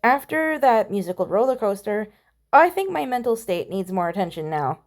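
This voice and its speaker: A woman's voice: mid-range voice, big pitch swings, moderate pace. A woman giving casual game commentary, chatty and playful, reading on-screen text aloud. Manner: chatty, mild playful exclamations. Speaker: gamer voicing a playthrough.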